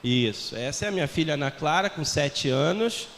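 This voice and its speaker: Um homem brasileiro na casa dos quarenta, missionário criado em Portugal, com sotaque brasileiro e traços do português europeu, falando de forma descontraída e brincalhona à congregação. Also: male voice, a little high, animated, moderate pace, fairly bright tone, slight background noise. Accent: Brazilian Portuguese with European Portuguese traces. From Brazil. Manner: jovial, self-mocking, chatty with the congregation. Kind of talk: church missionary talk. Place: large church hall. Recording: long church reverb, faint ambience.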